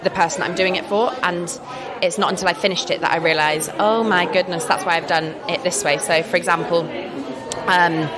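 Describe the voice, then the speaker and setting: A woman's voice: on the low side, steady tone, brisk. A woman artist with an English accent talking about her work in a chatty, enthusiastic way, laughing often. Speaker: artist interviewed. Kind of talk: casual interview chat. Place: busy art fair. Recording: crowd chatter behind.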